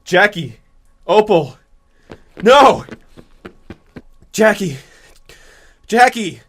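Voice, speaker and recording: An actor playing a desperate, vengeful son framed for murder in an overwrought radio thriller style. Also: male voice, high-pitched, sing-song, very slow, fairly bright tone, full character voice. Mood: panicked anguish. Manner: overwrought, stammering under pressure.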